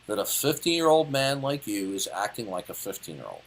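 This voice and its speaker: A man's voice: fairly low pitch, sing-song, fairly quick, poor audio. A man in his late fifties arguing in a heated debate, lecturing and interrupting in a condescending way. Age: 50s. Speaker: debate caller.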